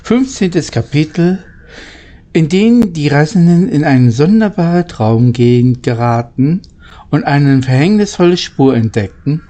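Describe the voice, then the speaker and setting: Slow, mellow man reading a story aloud. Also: a little high, expressive. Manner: halting, stumbling over words while reading. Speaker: amateur storyteller reading a children's novel aloud. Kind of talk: informal read-aloud storytelling with chatty asides.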